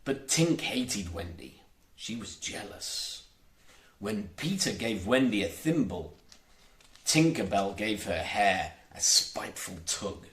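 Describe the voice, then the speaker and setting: A man's voice: fairly low pitch, big pitch swings, slow, fairly bright tone, reverberant. A man reading a children's storybook aloud as an audiobook narrator, expressively emphasizing his words. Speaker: audiobook reader.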